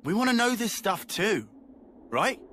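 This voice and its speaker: Boisterous, high-pitched young British man. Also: sing-song, measured pace, pushed and bright, a little hiss or hum, exaggerated voice. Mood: cheeky. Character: boisterous, cheeky young male warrior. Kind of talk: video game cutscene acting.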